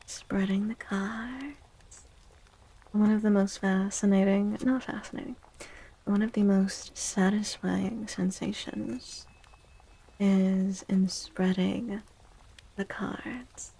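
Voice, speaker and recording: A woman hosting a soft-spoken ASMR tarot stream, talking gently and breathily, often near a whisper, with drawn-out delivery. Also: mid-range voice, steady tone, vocal fry, husky, poor audio. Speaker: livestream host giving tarot readings.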